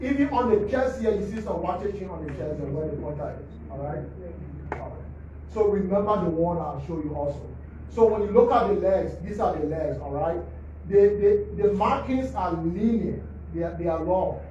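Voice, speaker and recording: Guy talking the press through slides, high voice but really dark and muffled, echoey hall from far off. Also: animated, moderate pace, a little hiss or hum. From Liberia. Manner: teacherly, keeps asking "all right?". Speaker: forensic pathologist at a press briefing. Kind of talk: explaining autopsy slides to the press. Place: government press briefing hall.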